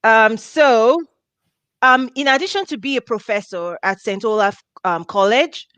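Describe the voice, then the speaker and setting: A woman's voice: a little high, lively intonation, measured pace, a bit bright, clean tone, no room sound. A middle-aged woman from Nigeria hosting a video call interview on a headset, bubbly and laughing often. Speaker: host. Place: home office with diplomas.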